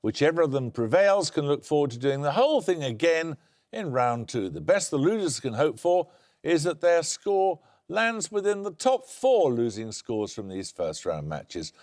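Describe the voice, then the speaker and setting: An older man runs a studio quiz show with a lively, rising and falling delivery. His voice sits a little high for a man, and he reads out questions in a crisp, brisk way. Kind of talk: scripted quiz hosting. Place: television studio.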